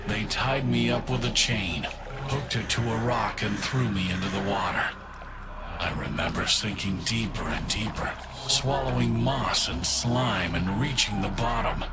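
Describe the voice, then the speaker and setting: Low-voiced, haunted ghost over loud music. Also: male voice. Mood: haunted, vengeful. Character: vengeful ghost of a murdered scientist, haunted and grave. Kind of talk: dramatic scripted monologue in a mystery game.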